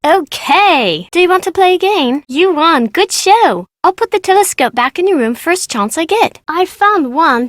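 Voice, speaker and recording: An eager young British girl in a video game. The voice is high and childlike, swinging widely up and down in a playful, bossy sing-song. It is recorded close and dry. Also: no room sound. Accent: British English. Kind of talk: video game character voice acting.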